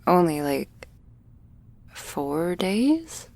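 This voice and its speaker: A woman performs a shy young female vampire in an ASMR roleplay, anxious and apologetic. Her voice is on the low side and crackles with fry. She talks very slowly and hesitantly, softly and intimately, as if to one listener. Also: big pitch swings, full character voice. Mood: sheepish. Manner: hesitant, halting, soft-spoken with whispery moments and nervous little laughs.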